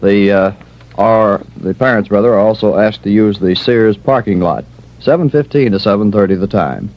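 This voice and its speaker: A man's voice: lowish voice, normal speed. A man reading a formal special bulletin as a radio announcer. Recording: muffled old radio recording.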